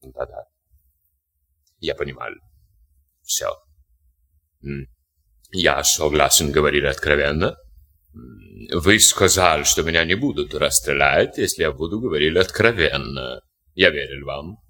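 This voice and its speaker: An actor playing an arrogant captured German SS officer, speaking broken Russian with a German accent. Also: male voice, rumbling low, measured pace, pauses often, sharp tone, full character voice. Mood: wary. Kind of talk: war film acting.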